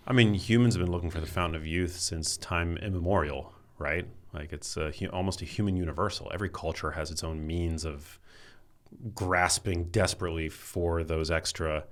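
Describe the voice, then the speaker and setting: A man hosting a podcast, talking in a relaxed, chatty way and getting animated when something excites him. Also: low voice, normal speed. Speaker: podcast host. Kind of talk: casual interview conversation.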